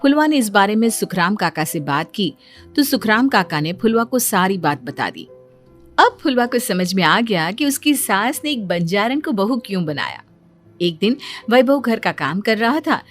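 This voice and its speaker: A woman's voice: medium pitch, very animated, normal speed, soft background music. A woman reading scripted narration for a cartoon bedtime story as its storyteller.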